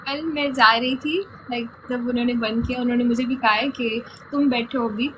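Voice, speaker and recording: A woman's voice: fairly high pitch, steady tone, normal speed, noisy background. A young woman with a sore throat chatting lightly as a guest on a live stream, speaking into a phone. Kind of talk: casual live stream chat. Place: indoor room, video call.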